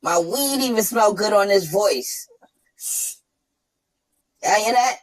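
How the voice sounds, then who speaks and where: Man on a squeaky chipmunk voice filter. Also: lively intonation, slow pace, rough recording. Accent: New York. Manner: cocky, profane, taunting. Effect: pitch-shifted chipmunk voice. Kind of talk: brash trash-talk rant.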